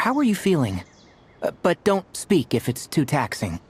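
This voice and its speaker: A man voicing a gentle, concerned young ranger in a video game, checking on a patient. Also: fairly high pitch, huge pitch swings, moderate pace, a little hiss or hum.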